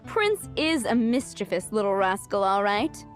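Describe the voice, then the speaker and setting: A woman's voice: mid-range voice, very animated, a little slow, faint music behind. A woman voicing a fretful, doting young cat owner who speaks earnestly and emotionally.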